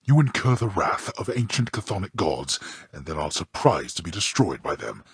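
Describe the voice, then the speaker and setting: A haughty god-king of the underworld for a video game, with a very deep and heavily rasping voice. His speech is formal and dryly contemptuous, swinging widely in pitch as he passes judgment like a stern father. The sound is close and dry. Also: big pitch swings, moderate pace, no echo, heavily acted. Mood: scolding. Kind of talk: theatrical video game character dialogue.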